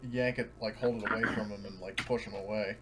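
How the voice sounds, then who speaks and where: A man's voice: fairly low pitch, steady tone, a little fast, very noisy. A man playing a tabletop roleplaying game, chatting casually in a blunt, argumentative way with other voices behind him.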